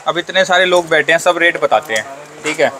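A man's voice: a little high, lively intonation, a little fast, bright, forceful tone, slight background noise. A man hosting a casual vlog, teasing and joking as he chats with a shop owner. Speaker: vlogger host. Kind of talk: casual on-camera chat.

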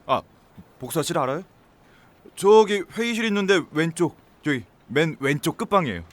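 A man's voice: a little high, animated, normal speed, lightly acted. An actor playing a friendly, chatty and easily flustered young male office worker in a Korean audio drama. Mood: busy, flustered.

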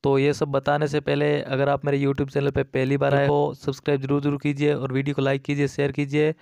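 A man's voice: medium pitch, completely flat delivery, brisk, creaky, darkish tone, smooth, no room sound. A man giving a casual, repetitive step-by-step app tutorial as a voice-over, addressing viewers as friends. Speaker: tech tutorial YouTube host.

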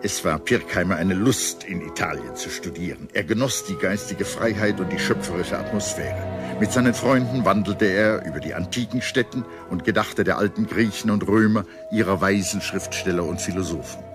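Deep male voice, scripted documentary narration, measured storytelling, music underneath. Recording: music playing behind the voice. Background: background music.

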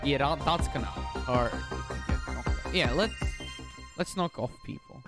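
A man's voice: mid-range voice, wildly swooping pitch, normal speed, over loud music. A young man from Bulgaria, chatting casually as a streamer while playing, often repeating words and asking himself confused questions. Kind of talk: casual gameplay commentary.

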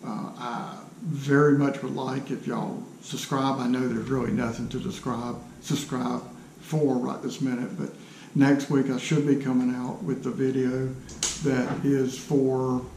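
A man, talking casually and haltingly to camera, in a self-deprecating way. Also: mid-range voice, level tone, vocal fry, darkish tone. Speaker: woodturner introducing his channel. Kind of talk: casual unscripted vlog talk to camera. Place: small cluttered home workshop, indoors. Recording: roomy workshop echo, faint background noise.

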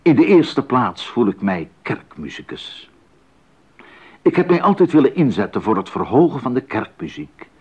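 An actor playing an earnest, devout and determined musician husband in a 1980s radio play, with formal, emphatic diction. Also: male voice, mid-range voice, darkish tone, slightly rough. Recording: dull, muffled 1980s radio recording.

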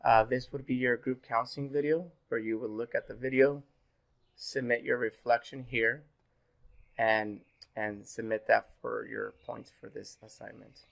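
A man in his thirties, a little sick and hoarse, giving a pre-recorded online class as instructor, relaxed and full of fillers. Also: mid-range voice, measured pace. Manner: relaxed, filler-heavy, repeats "go ahead". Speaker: university instructor. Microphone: webcam in corner of screen recording.

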